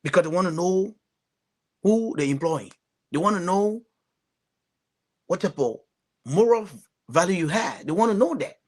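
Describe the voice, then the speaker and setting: A man's voice: high-pitched, expressive, moderate pace, pauses often. A man from Liberia with a Liberian accent, giving earnest, emphatic political commentary as a talk show panelist, often repeating phrases.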